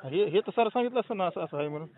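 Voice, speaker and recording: A man's voice: high voice, lively intonation, rapid-fire, noisy background. A man from chats casually on a phone call, often repeating words of agreement. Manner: repetitive, agreeing interjections. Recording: tinny, phone-like call recording.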